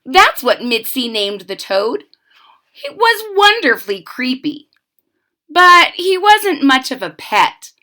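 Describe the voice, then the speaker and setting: A woman's voice: sits high, very animated, sharp tone. A woman reading a children's story aloud expressively, stressing and drawing out key words and adding explanatory asides. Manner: dramatic emphasis, drawn-out key words. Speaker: storybook reader.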